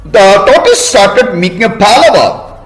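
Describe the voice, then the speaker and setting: A man telling a story to children in a teacherly way, pausing to ask questions and explain words. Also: high-pitched, big pitch swings, roomy sound, rough recording. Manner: didactic, asks listeners questions and explains words.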